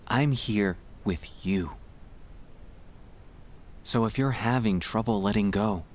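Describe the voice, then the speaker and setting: A man with a fairly low voice guides a listener toward sleep in scripted ASMR style. He speaks very slowly, with gentle reassurance. His pitch rises and falls widely in a soothing, expressive way. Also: a little hiss or hum.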